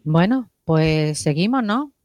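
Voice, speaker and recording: A woman's voice: sits low, lively intonation, slow, over music. A woman co-hosting a community radio show, introducing segments. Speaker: radio co-host.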